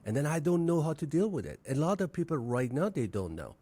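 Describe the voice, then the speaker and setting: A man, from Iran, hosting a podcast interview, speaking in halting phrases with frequent restarts. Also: fairly high pitch, sing-song, mellow, slightly rough. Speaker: podcast host. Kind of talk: conversational interview.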